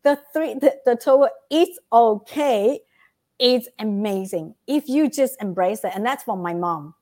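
A woman's voice: a little high, animated, measured pace, darkish tone. A woman with a Vietnamese accent, a guest giving earnest motivational advice and often checking in with "right?". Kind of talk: motivational interview talk.

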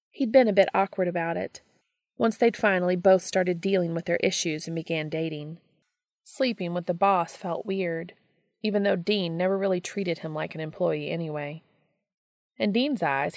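A woman's voice: fairly low pitch, darkish tone, no echo, clean studio sound. A woman reading a story aloud as scripted audiobook narration. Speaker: audiobook reader of fan fiction.

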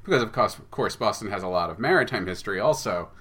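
Bright, clear-cut man chatting. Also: lowish voice, animated, fast talker. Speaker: podcast guest, audio drama writer. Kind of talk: conversational storytelling and explaining.

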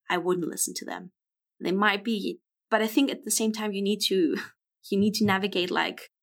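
A woman in her twenties, a podcast guest talking frankly and reflectively in a casual interview. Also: mid-range voice. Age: 20s.

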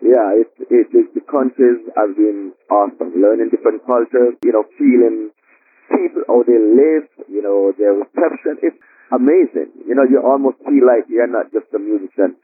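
A man's voice: mid-range voice, normal speed, husky, no echo. A man in his late thirties from Jamaica with a Jamaican accent, chatting humbly as an interview guest, with many fillers. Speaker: guest drummer. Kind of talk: casual interview chat. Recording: tinny phone-line audio.

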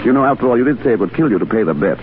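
An actor playing a gallant, teasing Foreign Legion sergeant in 1930s radio drama style, with a courteous, polished, playful manner. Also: male voice, mid-range voice, animated, racing, a little hiss or hum, natural voice. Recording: tinny 1937 radio broadcast, loud music.

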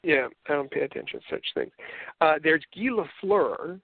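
A Canadian man co-hosting a podcast, rambling through casual chat with many halting restarts. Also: a little high, expressive, dry recording. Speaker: podcast co-host. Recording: tinny phone-like line.

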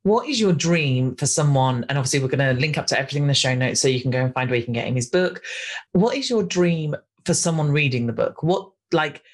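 A woman's voice: deep voice, lively intonation, quick pace. A woman hosting a casual podcast interview, earnest and effusive as she talks with her guest. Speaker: podcast host.

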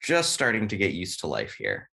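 An American man, a podcast guest, talking casually and thoughtfully in an interview, often pausing with "uh" and "you know". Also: low-pitched, huge pitch swings, vocal fry, bright, forceful tone. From United States. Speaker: podcast guest, game writer.

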